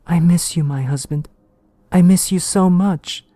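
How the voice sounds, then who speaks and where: A man's voice: measured pace, warm tone, no room sound. A man putting on an eerie ghostly family voice. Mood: pleading, eerie. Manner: high, pleading, hypnotic repetition. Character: ghostly wife and child calling together.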